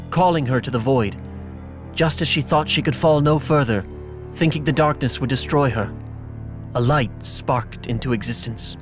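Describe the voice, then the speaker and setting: Solemn male narrator over prominent music. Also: medium pitch. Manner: measured, solemn. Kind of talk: dramatic scripted fantasy narration.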